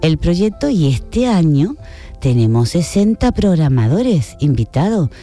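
A woman with an Argentine-tinged Spanish accent speaks in a mellow, relaxed voice. She is chatty and enthusiastic, like a festival director being interviewed on the radio. Music plays faintly behind her. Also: deep voice, measured pace. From Cádiz province, Spain.

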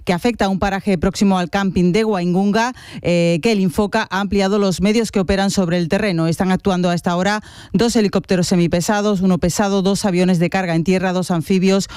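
Male newsreader, formal bulletin style, high crisp voice. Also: fairly quick, no room sound, studio quality. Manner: steady formal delivery. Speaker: radio newsreader. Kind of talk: hourly news bulletin.

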